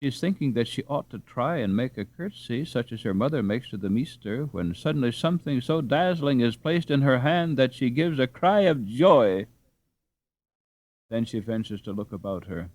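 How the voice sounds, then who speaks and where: A man's voice: mid-range voice, expressive, moderate pace, a little mellow. Man narrating a storybook expressively. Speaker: audiobook reader.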